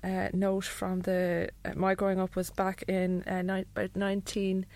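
Unhurried woman from Ireland. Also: medium pitch, completely flat delivery, darkish tone, dry recording. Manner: unhurried, halting with fillers. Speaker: guest. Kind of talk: casual podcast chat.

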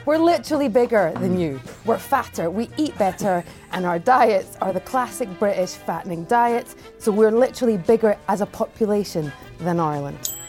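A woman's voice: medium pitch, animated, moderate pace, a little mellow, soft background music. A woman on a comedy panel show, bantering in a teasing, mock-proud way. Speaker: panel guest.

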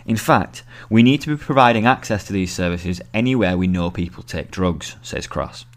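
Low voiced guy reading out his own article, scripted journalism narration. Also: lively intonation, moderate pace.

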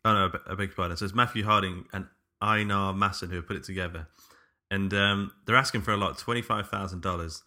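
A man's voice: deep voice, fairly even intonation, fast talker, sharp tone. A man hosting a podcast in casual chat, feeling his way through sentences with hesitant enthusiasm. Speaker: podcast host.

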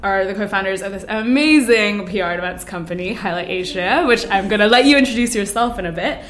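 A woman's voice: mid-range voice, quick pace, a bit bright. A woman hosting a podcast in a casual interview chat, upbeat and chatty, laughing often and leaning on "like". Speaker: podcast host. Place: indoor room with guests.

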